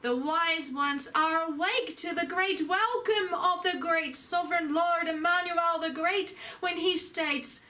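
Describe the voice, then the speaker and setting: A woman delivering a channeled sermon, speaking as a divine lord in a solemn, declamatory way with frequent pauses. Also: very high voice, bright, forceful tone. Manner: solemn, declamatory, pausing often. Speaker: spiritual channeler.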